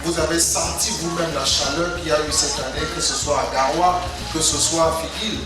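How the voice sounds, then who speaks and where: A man's voice: a little high, fairly even intonation, normal speed. Man speaking in an echoing hall. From North Cameroon. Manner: earnest, repeats phrases and addresses the mayor directly. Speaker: government representative at a launch ceremony. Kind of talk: formal public speech. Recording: echoey hall, distant mic, music behind.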